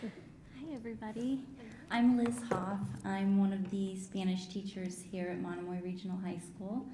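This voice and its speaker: A woman who teaches high school, giving a measured, explanatory presentation to a public meeting. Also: flat delivery, mellow. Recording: roomy meeting hall, faint rumbling noise.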